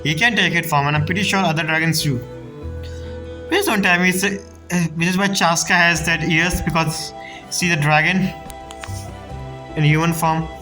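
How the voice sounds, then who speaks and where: A woman's voice: fairly low pitch, normal speed, pauses often. Casual woman gamer over game music. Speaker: streamer playing a story game. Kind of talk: casual gameplay commentary.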